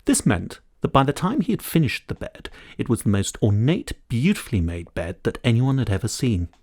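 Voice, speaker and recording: A man reading a children's storybook aloud in expressive, scripted narration. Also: fairly low pitch, no echo, clean studio sound. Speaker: audiobook narrator.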